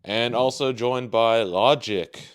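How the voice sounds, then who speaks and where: A man's voice: mid-range voice, takes it slowly, clear, resonant tone. A man hosting a casual podcast, rambling with lots of fillers and easy laughs. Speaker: podcast host.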